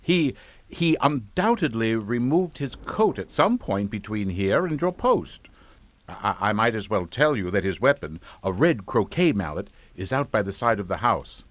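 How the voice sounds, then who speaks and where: A man's voice: lowish voice, sing-song, a little slow, dry recording. An actor playing a domineering, coolly scheming middle-aged father in a radio drama, with a pompous, condescending delivery. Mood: pompous, dismissive.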